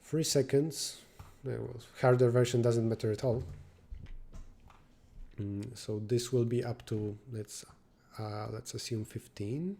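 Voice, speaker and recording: A man's voice: mid-range voice, steady tone, unhurried, creaky. Man thinking aloud while coding. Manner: thinks aloud, answers chat between calculations; drops to quiet muttering while working. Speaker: programming live streamer.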